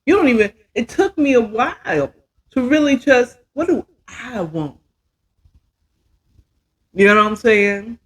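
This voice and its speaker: A woman's voice: fairly high pitch, lively intonation, a little slow, long pauses. A woman in her fifties telling a story casually as a podcast host, chatty and emphatic, often checking in with the listener. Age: 50s.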